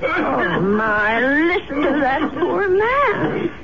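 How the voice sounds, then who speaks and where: A woman's voice: sits high, very animated, very slow, exaggerated voice. An actress playing a kindly, fretful elderly woman who chatters and dithers in a 1970s radio drama. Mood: concerned. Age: older. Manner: chatty, dithering, repeats herself when flustered. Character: kindly, fretful elderly widow living alone. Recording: dull, very noisy old radio recording.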